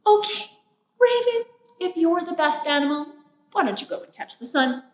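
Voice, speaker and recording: A sensible storybook bear voiced by a woman scolds earnestly and firmly. Her voice is high for a grown female and sits back from the mic in an echoey room. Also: very animated, a little fast, a bit bright, distant mic, slight background noise. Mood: frustrated, firm. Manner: earnest, admonishing.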